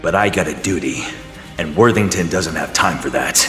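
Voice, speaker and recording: A man playing a gruff, weary, world-worn superhero in an audio drama, with a low gravelly growl and terse, slangy speech. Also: moderate pace, natural voice. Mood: grim, resolute. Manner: terse, tough, folksy slang. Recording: long cavernous reverb, music underneath. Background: dramatic music.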